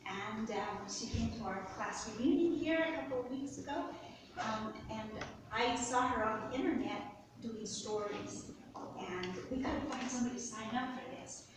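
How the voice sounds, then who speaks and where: A chatty woman gives a hesitant, casual introduction of a guest speaker. She is picked up from far off in a very echoey room with clear background noise. Her voice is somewhat high. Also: moderate pace. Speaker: event organizer introducing the speaker. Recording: distant mic in an echoey hall with noise.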